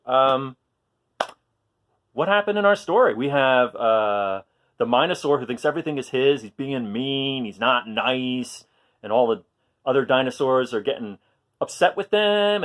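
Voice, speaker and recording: A man reading a story aloud to young children, expressively, pausing to ask questions and explain things simply. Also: mid-range voice, lively intonation.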